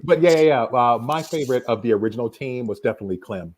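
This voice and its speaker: A man's voice: medium pitch, animated, fast. A man talking casually as a panelist in a fan discussion.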